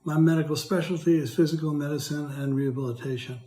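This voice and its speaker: Retired older man, hoarse and dry-voiced, reads his testimony carefully; roomy echo. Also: a little high, fairly even intonation, quick pace, mellow. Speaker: patient speaker. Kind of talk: prepared testimony read aloud.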